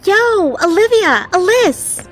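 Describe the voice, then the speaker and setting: A woman playing a brash, peppy young bar bouncer and waitress in an audio drama, with a casual, rough-around-the-edges way of talking. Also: very high-pitched, big pitch swings, takes it slowly, exaggerated voice. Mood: upbeat, brash. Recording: music behind the voice.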